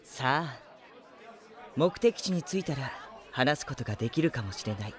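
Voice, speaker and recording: An actor playing a polite, composed, enigmatic youth in a Japanese audio drama, soft-spoken and boyish. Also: male voice, mid-range voice, expressive, normal speed, some chatter behind, close to an everyday voice. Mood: composed, mysterious.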